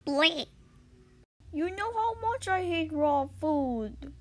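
A woman's voice: high-pitched, animated, slow. A young woman narrating a dare video in a dramatic, self-deprecating manner. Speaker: video creator, host.

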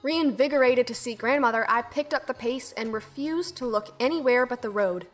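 A woman's voice: fairly high pitch, moderate pace, faint music behind, close to an everyday voice. A woman playing an earnest, dutiful young girl who tells her own fairy tale formally in an audio drama. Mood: earnest, reflective. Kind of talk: first-person storybook narration and acting in audio drama.

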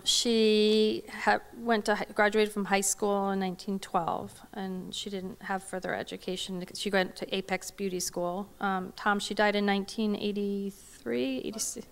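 A woman's voice: mid-range voice, level tone, unhurried, gravelly. A middle-aged woman answering audience questions from a podium microphone in a large hall, hesitant with many fillers and restarts. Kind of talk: academic Q&A talk.

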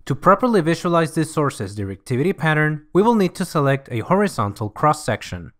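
A man reading scripted software tutorial narration in an instructional, measured way. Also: a little high, sing-song.

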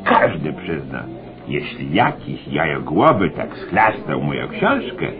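An actor playing a blustery, greedy school inspector and old-soldier braggart in a satirical radio comedy, stammering and repeating himself. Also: male voice, a bass voice, big pitch swings, slow, over music, exaggerated voice. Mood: scheming, excitable. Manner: stammering, repetitive, erratic, exclaiming. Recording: dull narrow-band radio recording, audience behind.

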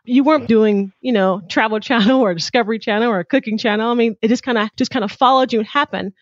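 A woman's voice: a little high, quick pace, dry recording. A woman hosting an interview, friendly and encouraging toward her guest. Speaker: host. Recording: dull dry lo-fi.

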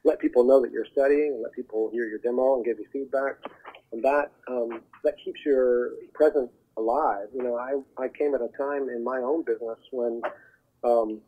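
Man, phone-line sound, slightly husky, conversational, earnest, halting, interview guest. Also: mid-range voice, steady tone. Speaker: voiceover talent agent, podcast guest. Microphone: telephone call. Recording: muffled narrow phone line.

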